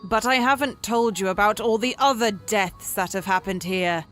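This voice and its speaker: A woman's voice: medium pitch, normal speed, fairly bright tone, soft background music, lightly acted. An actress voicing a creepy, morbid, ghostly female character in a visual novel. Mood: ominous.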